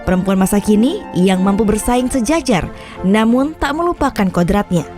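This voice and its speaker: A woman's voice: mid-range voice, lively intonation, moderate pace. A woman reading scripted narration for a news feature. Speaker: reporter and narrator. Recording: voice-over with clear background music. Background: music.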